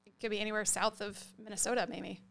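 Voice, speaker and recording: A woman's voice: mid-range voice, level tone, fast talker, gravelly, a bit bright. A woman co-hosting a podcast in casual, conversational chat. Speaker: podcast co-host.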